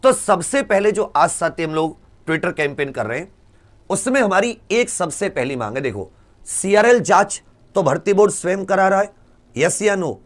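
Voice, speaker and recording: A man speaks in a fairly high voice with very lively, swinging intonation. He addresses students like a coaching teacher rallying them, emphatic and urging, throwing out rhetorical questions and repeating key phrases. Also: normal speed.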